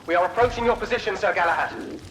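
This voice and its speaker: An actor playing a dutiful military officer relaying orders in a video game, formal, clipped and urgent. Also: male voice, sits high, fast talker, rough recording. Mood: stern, urgent. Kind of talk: cinematic video game acting. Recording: loud music and gunfire behind.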